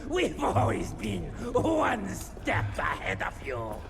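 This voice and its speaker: An actor playing a mocking vampire henchman with a gravelly voice in a game cutscene. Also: high-pitched, huge pitch swings, over music, full character voice. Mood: taunting. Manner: taunting, theatrical, slow. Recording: game cutscene over loud score.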